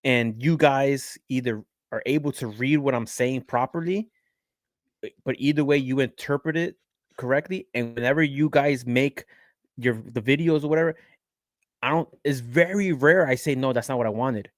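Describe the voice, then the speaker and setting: A man from Queens with a New York accent, co-hosting a casual sports podcast in an animated, slangy way, often repeating phrases for emphasis. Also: medium pitch, moderate pace, dry recording, studio quality. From Queens, New York City. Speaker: podcast co-host.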